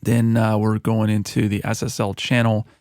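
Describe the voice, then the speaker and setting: A man with a lowish voice talks through his mixing session in a relaxed, conversational way, leaning on easy fillers. He is close on a broadcast studio mic in a home studio, and the sound is dry, with no room around it. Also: normal speed, no room sound. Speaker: music producer and recording artist. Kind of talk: mixing tutorial walkthrough. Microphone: broadcast studio microphone.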